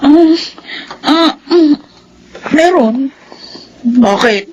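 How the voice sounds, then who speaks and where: A defensive, teasing young Filipino woman in a radio drama. Her voice is high and swoops with lively, whiny sass. There is a little ambient noise. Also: very slow, muffled recording, heavily acted. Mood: defiant, teasing.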